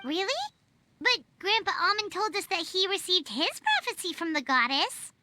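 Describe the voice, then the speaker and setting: Squeaky, very high little fairy girl. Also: expressive, normal speed, sharp tone, a little hiss or hum, full character voice. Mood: surprised. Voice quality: squeaky, childlike. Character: small excitable childlike fairy companion, female. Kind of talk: video game voice acting. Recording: game music behind the voice.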